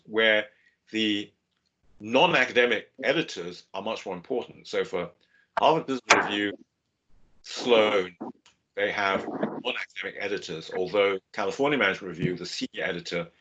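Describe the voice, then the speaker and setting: A man giving a measured, steady webinar lecture over a video call. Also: fairly low pitch, noisy background. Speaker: guest lecturer. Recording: dull lo-fi video call audio.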